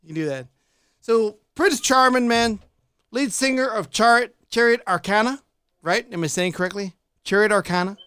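Chicago-accented male podcast host, high smooth voice, loose and chatty. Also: moderate pace, pushed and bright, dry recording. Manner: laid-back, repeats himself, laughs often. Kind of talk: casual interview chat.

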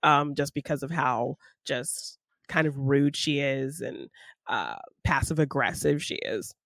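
A woman co-hosting a podcast, chatting casually about film in an animated, giggly way. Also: rumbling low, measured pace, no echo, clean studio sound. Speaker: podcast co-host.

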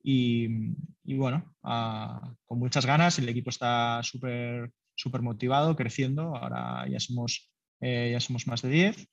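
A man's voice: mid-range voice, fairly even intonation, measured pace, creaky, no room sound, lo-fi sound. A man, a startup founder answering interview questions in a loose, conversational way with lots of fillers. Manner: loose and conversational, many fillers, laughs lightly.

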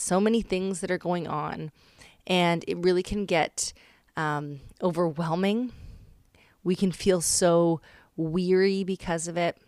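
A woman in her thirties hosting a faith-based podcast, hoarse and raspy from a cold, talking in an earnest, reflective way with loose pauses and fillers. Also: lowish voice, dry recording, studio quality. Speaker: podcast host.

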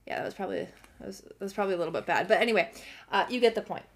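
A young adult woman hosting a self-help podcast, earnest and encouraging, her voice slightly hoarse from a cold. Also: medium pitch, very fast. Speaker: podcast host.

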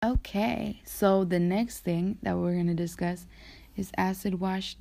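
A woman's voice: mid-range voice, fairly even intonation, unhurried, creaky, warm tone. A woman hosting a casual conversation in an informal, slangy way. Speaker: podcast host. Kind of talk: casual chat about fashion.

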